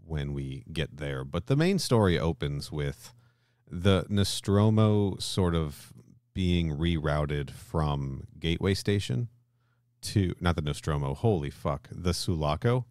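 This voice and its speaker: A man with an extremely low voice co-hosts a casual film discussion podcast. He talks informally, swears easily and keeps checking in with questions. The sound is dry, with very little room in it. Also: animated, slow, darkish tone, no room sound. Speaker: podcast co-host.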